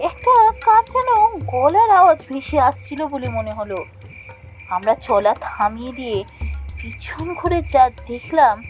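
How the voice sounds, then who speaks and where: A female storyteller narrates a ghost story in a high, animated voice. She uses dramatic pauses to build suspense. Music runs underneath. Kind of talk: scripted first-person ghost story narration.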